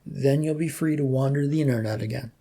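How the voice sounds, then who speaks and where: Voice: medium pitch, fairly quick, warm tone, natural voice. A narrator as a weary, sarcastic IT guy. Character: weary, sarcastic male office IT worker.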